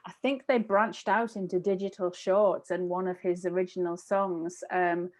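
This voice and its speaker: A woman's voice: on the low side, steady tone, normal speed. A woman in her thirties chatting casually as a podcast panelist, hesitant and often interrupting herself as she looks for words. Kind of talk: casual chat. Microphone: wired earphones on video call.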